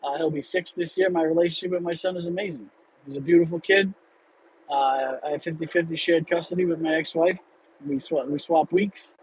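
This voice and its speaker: A man in his late thirties hosts an off-the-cuff livestream from behind the wheel, answering viewers candidly and rambling through restarts. His voice sits a little high for a man. A faint hum of the car in traffic runs underneath. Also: fairly even intonation, a little fast, rough recording. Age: 30s. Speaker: livestream host. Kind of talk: casual livestream chat.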